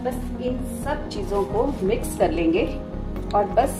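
A woman talks viewers through a home recipe one step at a time, in a calm and friendly instructional manner. Her voice sits in the middle range and sounds roomy, with music clearly playing under it. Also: mid-range voice, a little slow. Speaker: cooking channel host. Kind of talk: recipe demonstration voice-over. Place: home kitchen.

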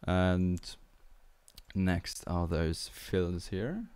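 A young man in his twenties from Austria with an Austrian German accent, walking through a music tutorial in a relaxed, hesitant way with many fillers, on a studio mic in a small home studio. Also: low-pitched, very slow, smooth, dry recording. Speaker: music producer. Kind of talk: tutorial walkthrough. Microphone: studio mic on boom arm with headphones.

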